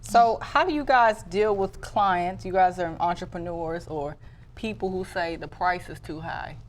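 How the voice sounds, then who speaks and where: A woman's voice: lowish voice, measured pace, a little hiss or hum. A woman hosting an interview in a casual, chatty style. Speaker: talk show host interviewer.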